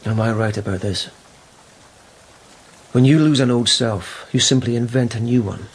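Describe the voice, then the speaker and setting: A man's voice: medium pitch, moderate pace, slightly rough. A man acting in a radio drama as a stern, accusing young imperial police lieutenant with a clipped, authoritative, scornful delivery. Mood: probing.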